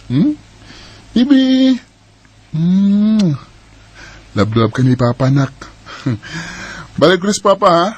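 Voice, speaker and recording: Dale, slow, husky Filipino young husband. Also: male voice, high voice, very animated, exaggerated voice. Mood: coaxing, affectionate. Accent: Filipino (Bisaya). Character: Dale, affectionate, easygoing young husband and father. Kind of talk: Filipino radio drama acting.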